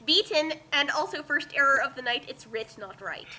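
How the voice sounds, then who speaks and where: A woman's voice: high voice, expressive, normal speed, bright, forceful tone, slight background noise. A woman co-hosting a dramatic fanfic reading with casual chat, theatrical when she reads. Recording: music behind the voice. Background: music.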